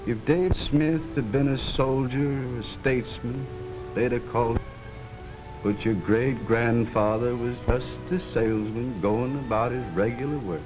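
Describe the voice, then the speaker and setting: A man's voice: medium pitch, fairly even intonation, a little slow, lightly acted, rough recording. An actor in a 1940s film playing a man recalling his late father, earnest and respectful. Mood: reflective. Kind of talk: 1940s film narration and acting. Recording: 1940s film soundtrack with music.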